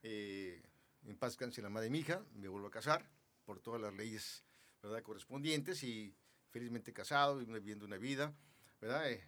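A man's voice: medium pitch, a bit bright. A man in his sixties, from Mexico City, talking as a guest in a casual interview with a rambling, loose delivery. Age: 60s. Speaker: guest, businessman.

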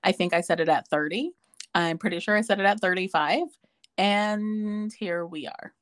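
A woman's voice: mid-range voice, normal speed, no echo, studio quality. A woman talking casually with a friend, telling a story in a chatty way with lots of fillers. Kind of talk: casual chat.

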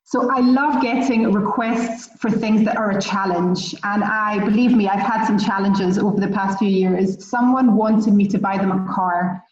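A woman's voice: medium pitch, fairly even intonation, normal speed, few pauses, darkish tone. A woman with a Scottish accent, telling stories with enthusiasm as a podcast guest. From Scotland. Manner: chatty, enthusiastic storytelling. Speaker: guest, relocation concierge. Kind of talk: podcast interview. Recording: roomy, distant, muffled.

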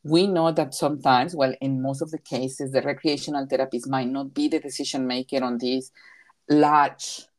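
A woman with a Mexican accent and a strikingly low, deep voice. She grew up speaking Spanish, and she answers interview questions as a therapy professional. She is emphatic and circles back to repeat her key points. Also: fairly even intonation, moderate pace, a little mellow. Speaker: recreation therapy leader.

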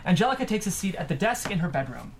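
A woman's voice: lowish voice, sing-song, fast talker. A woman serves as narrator for a screenplay reading. She delivers the stage directions as scripted narration, with faint noise behind her.

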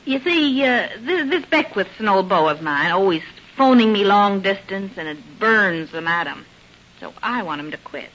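Sassy, animated wisecracking maid. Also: female voice, mid-range voice. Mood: confiding. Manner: brisk, slangy, confiding. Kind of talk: 1930s radio drama acting. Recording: hissy, muffled old radio recording.

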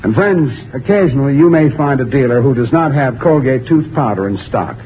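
A man's voice: mid-range voice, animated, brisk. A man reading a 1940s radio advertisement with earnest, emphatic, polished salesmanship. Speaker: commercial announcer. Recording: lo-fi 1940s radio, faint noise.

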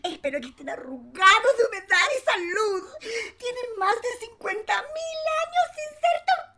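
A haughty alien drag queen commander for a comic radio play. The voice is high and swoops dramatically, with pompous, theatrical delivery. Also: expressive, normal speed, heavy background noise. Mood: grand, tearful.

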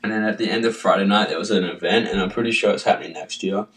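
Low-voiced man with a cold, off-mic. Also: fast, far from the mic. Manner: relaxed, rambling, guided breathing intro. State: has a cold, coughing. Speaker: podcast co-host. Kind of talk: casual podcast chat.

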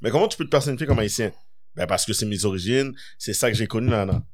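A man tells a story in a casual podcast chat, voicing each person in the dialogue. His pitch swings widely. The sound is close and dry. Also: fast talker, dry recording. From Quebec. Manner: expressive storyteller, acts out dialogue.

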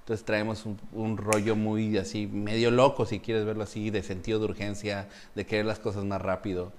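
A man's voice: lowish voice, flat delivery, normal speed. A middle-aged man from Mexico City with a Mexican accent, hosting a casual live-stream chat and teasing his guest, with lots of fillers. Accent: Mexican Spanish. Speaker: host.

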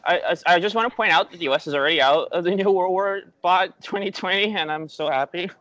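A man's voice: high-pitched, a bit bright. A man chatting as a podcast guest, laughing often and stumbling over his words. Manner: laughs a lot, halting. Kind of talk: casual podcast chat.

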